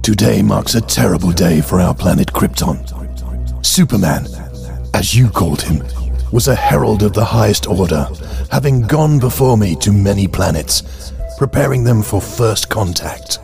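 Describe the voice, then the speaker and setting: An actor playing a pompous alien android who poses as a benevolent deity, speaking formally and grandly in an audio drama. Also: male voice, lowish voice, expressive, moderate pace, vocal fry, a little mellow, faint music behind. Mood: solemn, grandiose.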